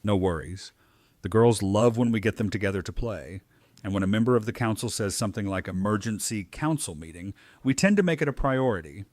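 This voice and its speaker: A man's voice: lowish voice, a little fast, a little mellow, no echo, natural voice. A man playing an easygoing, teasing friend in an audio drama. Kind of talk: fan audio drama acting.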